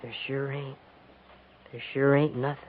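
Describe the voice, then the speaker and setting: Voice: mid-range voice, level tone, measured pace, natural voice. An actor playing a frightened, dazed frontier boy in a 1950s radio western, polite and guarded, with hesitant rustic speech. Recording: muffled, noisy 1950s radio recording.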